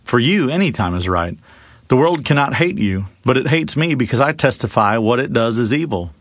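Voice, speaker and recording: A man's voice: medium pitch, expressive, moderate pace, no room sound, lightly acted. Man acting earnest teacher, male, emphatic declarations, scripture storytelling, dry recording. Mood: firm, earnest.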